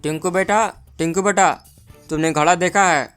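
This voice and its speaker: Low-pitched earnest village milkman acted by a woman. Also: steady tone, moderate pace, clean tone, faint music behind, lightly acted. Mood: worried. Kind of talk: Hindi moral-story cartoon dub.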